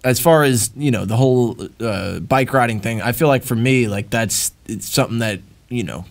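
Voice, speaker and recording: A man's voice: mid-range voice, moderate pace, vocal fry. A young man from New York interviewing a guest in casual chat, hesitant with frequent restarts. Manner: hesitant, many fillers and restarts, laughs easily.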